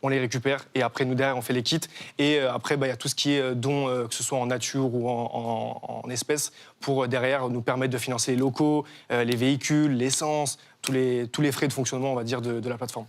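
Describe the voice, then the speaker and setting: A man's voice: medium pitch, little pitch movement, fast. Hesitant young man on a TV talk show. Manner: hesitant, many fillers and restarts. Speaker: guest, association co-founder. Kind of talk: TV studio interview.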